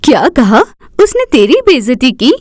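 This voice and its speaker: A woman voicing a protective, scolding mother with an emphatic delivery in an animated moral story. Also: high-pitched, moderate pace, no echo, studio quality.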